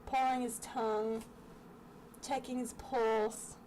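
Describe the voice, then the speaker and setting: A woman's voice: on the high side, flat delivery, slow, a little mellow, poor audio. A young woman giving casual, wry commentary in an offhand way. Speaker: storytime co-host commenting on pictures. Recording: faint background noise.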